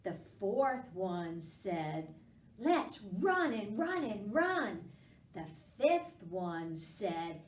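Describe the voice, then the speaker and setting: A woman hosting a library storytime, reading rhymes to children with an expressive, encouraging, singsong delivery. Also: mid-range voice. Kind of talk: children's storytime read-aloud with rhymes and guessing prompts. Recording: roomy echo, distant microphone.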